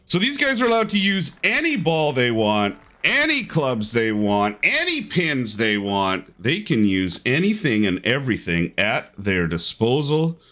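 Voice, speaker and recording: A man's voice: medium pitch, wildly swooping pitch, unhurried, hardly any pauses, sharp tone. A man hosting and commentating a live online golf game tournament in upbeat play-by-play.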